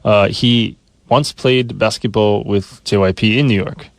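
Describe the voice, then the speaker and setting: A man appearing as a regular news guest on a radio show, telling stories in a relaxed, conversational way. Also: fairly low pitch, a little slow, no room sound. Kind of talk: casual radio chat. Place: radio studio. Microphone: studio mic.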